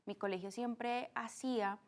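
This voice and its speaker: A woman in her twenties with a Colombian accent from Cali, speaking as a podcast guest in a warm, earnest way with frequent "eh" fillers. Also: a little high, steady tone, measured pace. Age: 20s. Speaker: guest, art director. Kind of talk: casual interview.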